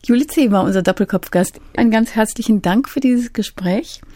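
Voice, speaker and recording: A woman hosting a radio interview, putting prepared questions in a clear, courteous manner. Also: a little high, fairly quick, mellow.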